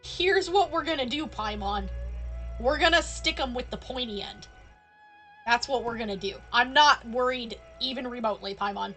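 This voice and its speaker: A woman's voice: fairly high pitch, normal speed, sharp tone. A woman streaming a game live, casually answering the characters in a playful, emphatic way. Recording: game music behind.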